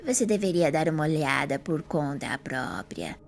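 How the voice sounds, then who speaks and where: A woman's voice: low-pitched, animated, moderate pace, vocal fry. A woman playing a frail, polite elderly lady in an audio drama, kind and bewildered. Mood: gentle, slow.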